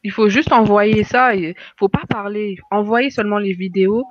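A woman's voice: medium pitch, moderate pace. A woman chatting casually as a participant in a live discussion, heard over a muffled online call. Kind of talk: casual chat.

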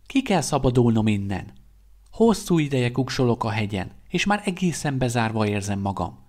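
A man's voice: medium pitch, sing-song, darkish tone, pitched above the performer's own voice, natural voice. A man voicing a restless young male vampire guard who talks in an earnest, pleading way. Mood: earnest, eager.

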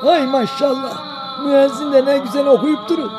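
A man's voice: extremely high pitch, fairly even intonation, moderate pace, over music. A man voicing a kindly old village grandfather in an educational cartoon, folksy and doting, with a Denizli accent from southwestern Turkey. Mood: fond, chatty.

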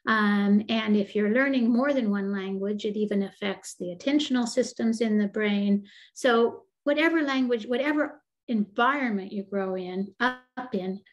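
Older woman, expert, video call, explanatory, ums and restarts, mid pitch. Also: highs cut off. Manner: thoughtful, explanatory, with frequent fillers and restarts. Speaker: developmental psychologist guest. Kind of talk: answering questions in a webinar.

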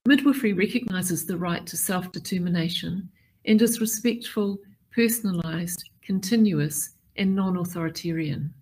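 Middle-aged woman, keynote speaker, husky low voice, deliberate and measured. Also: darkish tone, rough recording. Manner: measured, deliberate delivery with listed points. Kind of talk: formal prepared keynote address.

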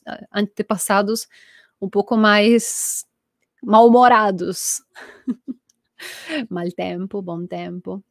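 A woman's voice: mid-range voice, no room sound, clean studio sound. A woman in her thirties from Italy with an Italian accent, teaching a live online language class from a small room at home and reading out viewers' comments. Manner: lively, reads viewer comments, gestures a lot. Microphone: earphone headset mic.

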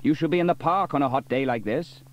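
A man's voice: fairly high pitch, fast talker, pitched above the performer's own voice, lightly acted. Hearty, upbeat village fireman, proud of his inventions; read by a male narrator. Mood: cheery.

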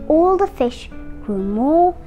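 A woman's voice: high-pitched, sing-song, slow pace, mellow. An eight-year-old girl reading a picture book aloud with careful, dramatic phrasing, as a young storyteller. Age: child, eight years old. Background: instrumental music.

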